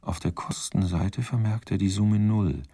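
An actor playing a dry, wry narrator in a radio crime play, telling it in a measured, deadpan way. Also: male voice, lowish voice, husky, dry recording.

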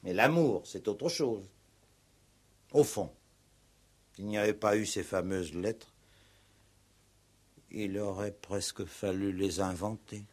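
An actor playing a probing, insistent, ironic police commissioner in a 1960s French radio play. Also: male voice, on the low side, slow, pauses often, darkish tone. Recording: old 1960s radio recording.

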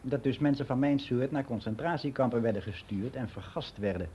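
Actor as mellow older man reminiscing, faint hiss. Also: mid-range voice, fairly quick, lightly acted. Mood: candid, reminiscing. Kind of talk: radio play monologue as a personal testimony.

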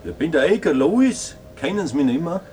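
A man's voice: a little high, very animated, fairly quick, mellow. A man playing a jovial, attentive country innkeeper in a radio drama, with an Austrian accent and a cheery, solicitous manner. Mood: cheerful, welcoming. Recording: clear background noise.

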